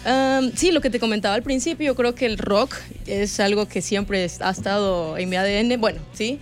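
Woman, Salvadoran accent, mid pitch, casual interview guest, chatty and giggly, faint music behind. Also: moderate pace. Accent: Salvadoran Spanish.

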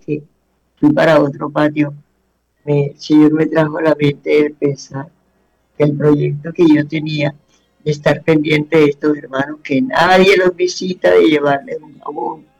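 Guy doing a prayer radio show, raspy fry in his voice, keeps repeating blessings, quiet music under it. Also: a little high, poor audio. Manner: fervent, repeats blessings and phrases. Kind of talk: devotional radio talk and prayer.

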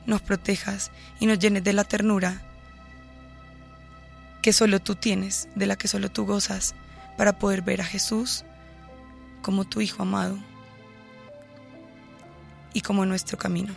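A young woman from Bogotá with a Colombian Caribbean coast accent, sharing her faith testimony and leading a prayer in a soft, earnest voice. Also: mid-range voice, measured pace, gravelly, long pauses, soft background music. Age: 20s. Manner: earnest, leads prayer in a soft steady cadence. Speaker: guest giving testimony. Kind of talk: casual chat and spoken prayer.

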